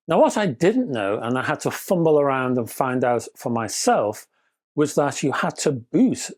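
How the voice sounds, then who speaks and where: A man reviewing a portable music player in an emphatic, wry, opinionated way. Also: a little high. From Britain. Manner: animated, emphatic, wry, British turns of phrase. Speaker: audio equipment reviewer. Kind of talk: scripted product review with opinionated asides.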